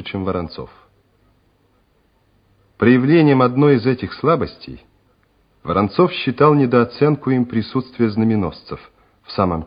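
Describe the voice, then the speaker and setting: A man's voice: on the low side, slightly rough. A man narrating a war radio play in a dramatic, scripted style. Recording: muffled, noisy old radio recording.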